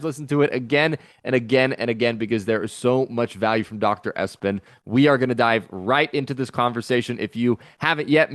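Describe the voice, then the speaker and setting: A man's voice: mid-range voice, no echo, clean studio sound. Upbeat male podcast host. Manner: upbeat, energetic and encouraging. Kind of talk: podcast intro and interview.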